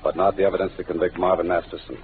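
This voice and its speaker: An actor in a 1940s radio drama playing a confident, wisecracking detective with a dry, clipped delivery. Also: male voice, deep voice, steady tone, racing, a little hiss or hum, close to an everyday voice. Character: hard-boiled wisecracking male detective. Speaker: lead of a radio mystery. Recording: tinny old radio broadcast with music.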